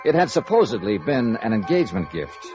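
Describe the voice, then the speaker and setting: A man's voice: on the low side, sing-song, a little fast, darkish tone. A man playing a wry, hard-boiled insurance investigator in 1950s radio noir, clipped and probing. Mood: wry, narrating. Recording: dull vintage radio recording, music.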